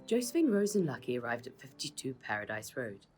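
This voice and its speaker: A woman reading a children's story as its author, in a measured, expressive storytelling manner. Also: rumbling low, music in the background. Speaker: author reading their own book. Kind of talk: children's audiobook narration.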